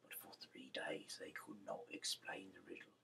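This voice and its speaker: Very deep, gravelly man reading haltingly. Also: animated, pushed and bright, in a whisper, far from the mic. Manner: halting, stumbling delivery with restarts. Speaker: reader, host of a Bible-reading series. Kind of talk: amateur scripture read-aloud.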